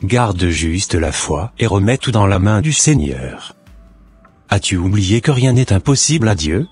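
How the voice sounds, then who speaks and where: A man voicing a calm, reassuring believer. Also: on the low side, unhurried, breathy edge, faint music behind. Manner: reassuring, sermon-like.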